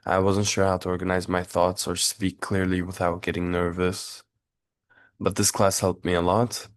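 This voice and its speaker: A man's voice: sits low, completely flat delivery, moderate pace, no echo, studio quality. A young man from Turkey giving a prepared class speech, earnest, with small restarts and fillers.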